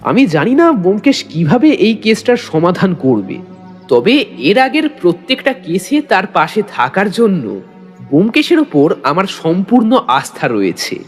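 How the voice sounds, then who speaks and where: Guy acting as detective's sidekick narrating a mystery, high animated voice, reverby, suspenseful. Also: unhurried. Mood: suspenseful narration. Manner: storytelling, suspenseful. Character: detective's loyal male companion narrating the mystery. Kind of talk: dramatic scripted narration. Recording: long reverb, music bed.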